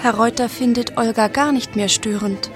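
An actress narrating a German radio play in a playfully ironic, scripted style. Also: female voice, a little high, steady tone, normal speed, lightly acted. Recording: music behind the voice.

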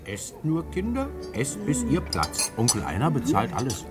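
An actor playing a jovial, sly, boastful uncle in a children's radio play. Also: male voice, medium pitch, huge pitch swings, normal speed, music in the background. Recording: noticeable background noise with clicks.

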